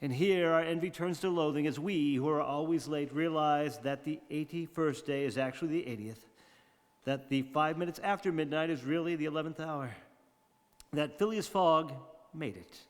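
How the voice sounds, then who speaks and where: A man's voice: on the high side, normal speed, natural voice. Man acting as wry, theatrical radio host, echoing hall. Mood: grandiloquent. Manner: theatrical, eloquent, wryly self-mocking. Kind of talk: 1930s radio monologue acting. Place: community theatre radio play staged live. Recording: reverberant hall.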